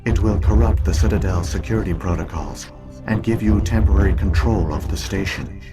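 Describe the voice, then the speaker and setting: A man playing an ancient alien virtual intelligence in a video game, speaking in a calm, measured, formal way as he explains. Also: fairly low pitch, lightly acted. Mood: calm, instructive. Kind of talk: scripted game dialogue, expository. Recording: music behind the voice.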